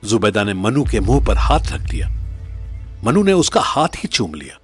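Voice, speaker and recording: A man's voice: fairly low pitch, sing-song, normal speed, husky, faint music behind. A man narrating a scripted audio drama story as its narrator.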